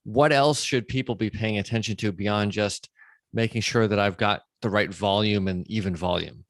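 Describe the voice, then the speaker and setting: A man's voice: on the low side, moderate pace, no echo. A man hosting a podcast, interviewing conversationally and thinking aloud as he talks. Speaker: podcast host.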